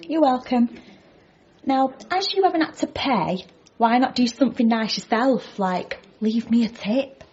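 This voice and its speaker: An actress playing a blunt but kindly cafe waitress in a radio comedy drama, deadpan and matter-of-fact. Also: female voice, on the high side, measured pace, lo-fi sound.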